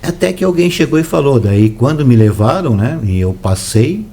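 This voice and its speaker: A man, a relaxed, soft-spoken storyteller reminiscing in a casual interview. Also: medium pitch, normal speed, a little hiss or hum. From São Paulo, Brazil. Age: 60s. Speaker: interview guest, former footballer.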